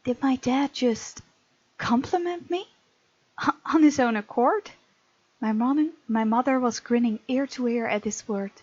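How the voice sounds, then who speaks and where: A woman's voice: on the high side, a little slow, no echo, muffled recording. A woman hosting a gaming video, expressively reading game text aloud with casual, playful commentary.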